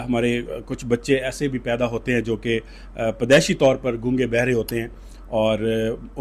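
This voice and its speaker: A man with a Pakistani accent hosting an informal interview, speaking in hesitant, wordy phrases full of filler words. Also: mid-range voice. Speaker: interviewer and host.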